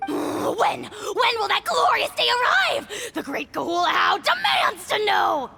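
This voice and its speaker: A high, creaky video game creature, ancient, small and hugely arrogant. It declaims imperiously at an unhurried pace, over prominent music. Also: female voice, sing-song, sharp tone, raised voice, heavily acted. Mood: gleeful, demanding. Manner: pompous, imperious, grandiose insults. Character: tiny arrogant bombastic ancient creature, self-proclaimed great lord.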